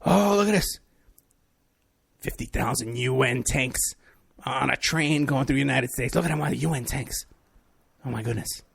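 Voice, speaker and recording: A man's voice: mid-range voice, normal speed, vocal fry, pauses often, fairly bright tone, slightly rough. A man from New City, hosting a talk radio show in a rambling, sarcastic monologue. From New York City. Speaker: radio show host, private investigator.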